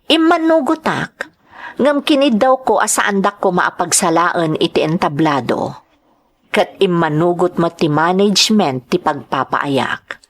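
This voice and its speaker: A woman's voice: fairly low pitch, expressive, unhurried. A woman with a Filipino accent playing an earnest young nightclub entertainer, telling her story expressively in a radio-style drama. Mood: earnest confiding.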